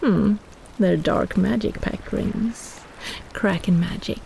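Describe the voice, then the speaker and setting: A woman's voice: medium pitch, slow, creaky, warm tone, a little hiss or hum, poor audio. A woman playing a possessive, teasing kraken in an intimate roleplay audio drama, coaxing and condescending as she schemes. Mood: satisfied, doting.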